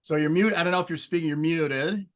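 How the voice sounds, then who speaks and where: A man's voice: on the high side, quick pace. A man hosting an online meeting, greeting people in a friendly, chatty way over a video call. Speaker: meeting host. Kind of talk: casual online meeting chat.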